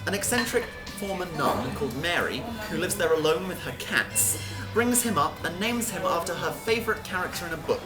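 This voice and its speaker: A woman's voice: lowish voice, animated, brisk. A woman reading a scripted story aloud as a narrator, with music behind her. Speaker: reader.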